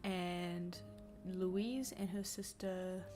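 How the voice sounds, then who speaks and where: A woman's voice: lowish voice, steady tone, very slow, music in the background. A young woman with a New Zealand accent, sniffly, chatting casually and self-deprecatingly while reading a book aloud on a livestream at home.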